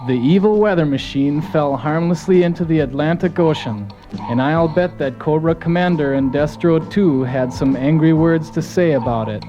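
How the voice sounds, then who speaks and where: A man's voice: on the high side, moderate pace, lo-fi sound, lightly acted. A man playing a folksy, gung-ho soldier who narrates his team's mission in a scripted audio drama. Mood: earnest storytelling. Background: music.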